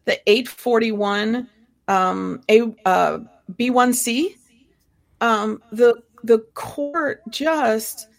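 Woman podcast co-host from Ohio, fairly high voice with a gravelly edge, chatty. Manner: animated, chatty, quick asides. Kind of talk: casual podcast chat.

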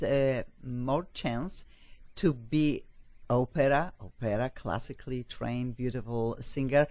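A woman's voice: rumbling low, a little mellow, no echo. A woman hosting a talk show interview, gushing and enthusiastic, with many fillers. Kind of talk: effusive interview chat. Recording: dull lo-fi, dry.

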